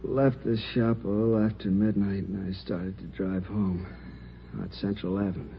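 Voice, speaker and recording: An actor playing a rueful, weary crime victim in hospital, talking in a subdued, colloquial way in 1950s radio drama. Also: male voice, lowish voice, flat delivery, moderate pace, vocal fry. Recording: dull, noisy old radio recording.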